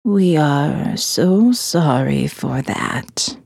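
A reanimated dead woman, speaking very slowly in a low, husky whisper. She is solemn and courteous, halting as if through stiff lips, in a horror audio drama. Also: expressive, darkish tone, dry recording, clean studio sound, exaggerated voice. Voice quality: breathy, whispery, creaking. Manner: slow, halting, stiff-lipped, formal. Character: reanimated female corpse, hive-mind host, slow, solemn, courteous.

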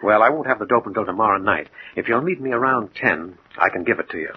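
An actor playing a cold, self-assured gangster boss with blunt, matter-of-fact menace in a 1940s radio drama. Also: male voice, lowish voice, fast, lightly acted. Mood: businesslike. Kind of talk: 1940s radio crime acting. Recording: muffled, noisy 1940s radio recording.

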